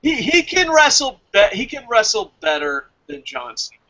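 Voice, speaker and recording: A man's voice: high voice, big pitch swings, normal speed, pushed and bright. An American man chatting casually and emphatically about his opinions as a review show host, swearing freely. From New Jersey, United States.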